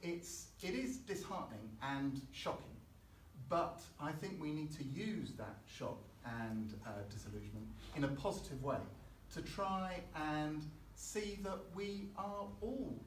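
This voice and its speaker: A man's voice: on the high side, lively intonation, unhurried. A man from Chile, a candidate speaking on a panel, thoughtful and hesitant, often restarting his sentences. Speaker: election candidate. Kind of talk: unscripted panel speech. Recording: echoey hall, mic far off. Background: faint room noise.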